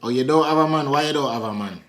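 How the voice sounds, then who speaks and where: A man's voice: medium pitch, fast talker. A man from New York hosting a prank video call, talking playfully in a put-on Jamaican patois. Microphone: phone on a video chat app.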